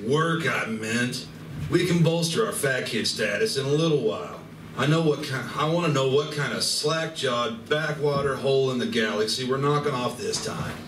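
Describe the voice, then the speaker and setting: A grumbling alien con artist , acted by a man in a live comedy radio play. He is sarcastic, exasperated and crude in his complaints. The recording is roomy and distant, with clear noise around it. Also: mid-range voice, moderate pace, fairly bright tone, lightly acted. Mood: sardonic. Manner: exasperated, foul-mouthed complaining.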